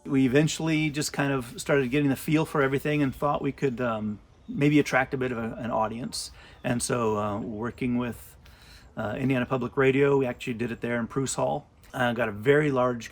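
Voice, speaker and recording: A man's voice: medium pitch, fairly even intonation. A man who directs drama, speaking casually and a little hesitantly in a documentary interview. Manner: hesitant, conversational, pausing.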